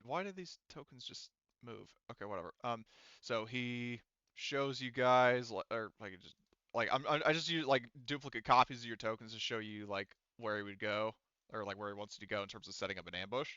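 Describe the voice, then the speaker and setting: A man running a tabletop roleplaying game, narrating casually in a halting, rambling, sweary way on a close mic. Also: mid-range voice, sharp tone, smooth, no echo, dull audio. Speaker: game master.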